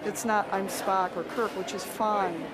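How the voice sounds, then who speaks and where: A woman's voice: medium pitch, fairly even intonation, unhurried. A woman fan giving casual answers in an interview. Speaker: convention fan. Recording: very loud convention crowd noise.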